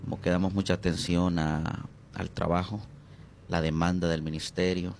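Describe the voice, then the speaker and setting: Deep, gravelly Honduran pastor. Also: male voice, little pitch movement, slight background noise. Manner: hesitant, many drawn-out fillers, slows on key words. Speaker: pastor and interview guest. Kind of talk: reflective interview conversation.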